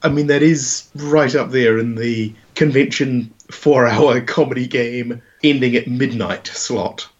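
Croaky man spinning a long idea. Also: medium pitch, steady tone, measured pace, few pauses. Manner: rambling, builds ideas with long setups. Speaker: podcast co-host. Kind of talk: casual chat pitching a game idea. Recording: dull lo-fi podcast audio.

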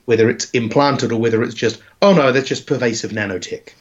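Croaky man spinning a long idea. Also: medium pitch, brisk. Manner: rambling, builds ideas with long setups. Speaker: podcast co-host. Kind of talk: casual chat pitching a game idea. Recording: dull lo-fi podcast audio.

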